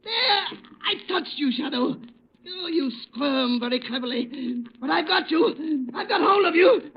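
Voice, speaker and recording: An actor playing a crazed, vengeful poisoner in an old radio thriller, taunting and hysterical. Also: male voice, very high voice, animated, a little slow, gravelly, heavily acted. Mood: vengeful fury. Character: crazed vengeful male poisoner, gloating maniac. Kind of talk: 1940s radio thriller acting. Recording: muffled, noisy old radio recording.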